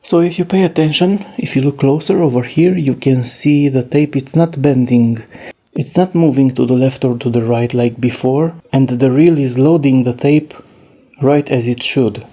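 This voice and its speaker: A man narrating a hands-on repair in a casual, halting way, thinking aloud as he works. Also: mid-range voice, dark tone. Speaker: host demonstrating a cassette repair.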